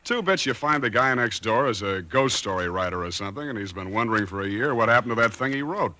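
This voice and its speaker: An actor playing an easygoing, skeptical friend in a 1940s radio drama, breezy and teasing. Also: male voice, lowish voice, expressive, fast, bright, forceful tone. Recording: old 1940s radio broadcast.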